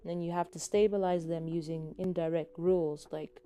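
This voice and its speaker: A woman voicing a mischievous young male science genius in a story, explaining in a low-key, curious way. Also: fairly even intonation, moderate pace, warm tone, natural voice. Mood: keen explaining.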